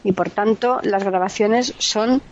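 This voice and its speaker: A woman's voice: medium pitch, slow, a little hiss or hum. Lady hosting radio chat, teasing and playful, kinda dull sound. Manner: teasing, laughs often, playful. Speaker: radio host. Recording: slightly dull radio audio.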